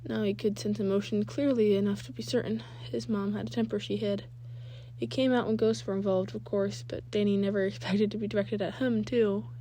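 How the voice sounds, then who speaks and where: A woman's voice: medium pitch, steady tone, fairly quick, mellow, slight background noise. A woman narrating fan fiction as an amateur reader, now and then stumbling and rereading phrases. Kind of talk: scripted narration, reading a story aloud.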